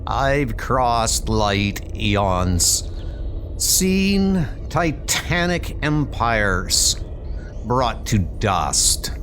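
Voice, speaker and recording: A man's voice: lowish voice, background noise, pitched below the performer's own voice, full character voice. A man voicing an ancient, solemn cosmic god in a comic book dub, with a portentous, grandiose manner.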